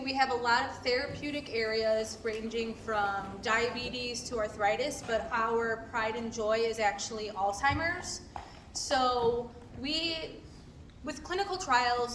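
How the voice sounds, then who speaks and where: A woman's voice: fairly high pitch, fairly bright tone. A woman giving an informal, earnest presentation to a group, explaining as a company representative. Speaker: clinical trial company representative. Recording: echoey room, distant mic, background noise.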